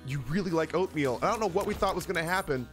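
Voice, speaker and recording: A man streaming games who tells comic stories casually, in an animated, exaggerating and profane way. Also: a little high, racing. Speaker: game streamer. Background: music in the background.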